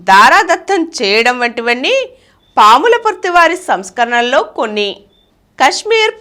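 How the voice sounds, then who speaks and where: High-pitched woman reading aloud, lively intonation. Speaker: reader of biographical articles. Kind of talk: scripted narration, reading aloud.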